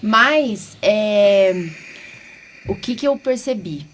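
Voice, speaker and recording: A Brazilian woman hosts a casual podcast in a mid-pitched voice. She chats informally, often checking in with little tag questions. There is some noise behind her. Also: lively intonation, very slow, fairly bright tone. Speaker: podcast host and allergist doctor.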